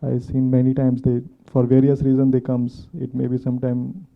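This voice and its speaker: Man with very flat pitch, tinny sound. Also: vocal fry. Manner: non-native English phrasing, halting. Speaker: class participant asking questions. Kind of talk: spontaneous question and reflection. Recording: tinny, phone-like muffled sound.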